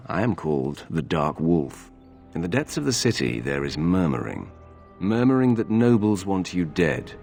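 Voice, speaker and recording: Secretive game rogue: very low, gravelly, conspiratorial, with expressive swings in pitch. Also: male voice, exaggerated voice. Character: shadowy, secretive male rogue informant. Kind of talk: video game voice acting.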